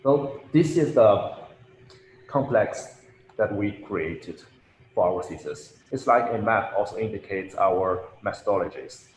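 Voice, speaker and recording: A young man presents a thesis in a careful, somewhat halting way. His voice is on the low side. It carries room echo and a faint hiss from a call mic. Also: expressive. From Shanghai, China. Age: young adult. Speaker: graduate student presenting. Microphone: computer mic on a video call. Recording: echoey room with faint noise.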